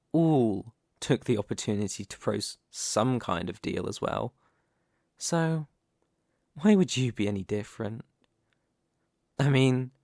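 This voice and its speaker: A man's voice: mid-range voice, no room sound, clean studio sound. A man playing a young mafia heir in a scripted audio roleplay, with polite, formal manners over cunning menace. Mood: probing, pointed. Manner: measured, courteous, formal delivery.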